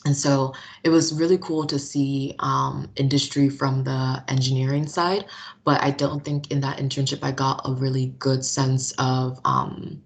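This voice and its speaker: Low-voiced young American woman, chatty. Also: flat delivery, a little slow. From Nigeria, raised in South Florida. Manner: chatty, laughs often, lots of "um" and "like". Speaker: guest. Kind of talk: casual interview conversation.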